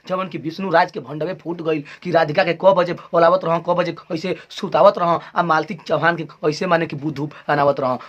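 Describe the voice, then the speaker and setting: High-pitched Bhojpuri man presenting. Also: fairly bright tone, highs cut off. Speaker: video channel host. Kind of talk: informal viral-news commentary to camera.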